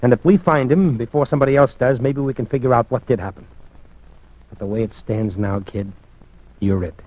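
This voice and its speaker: Male actor as a no-nonsense detective, firm and clipped, mid-pitched, dull vintage radio sound. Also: fairly quick, no echo, lightly acted. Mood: steady firm. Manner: firm, clipped, calls others kid. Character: tough, steady male police detective. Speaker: police detective. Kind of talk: 1940s radio acting. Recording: dull old radio broadcast.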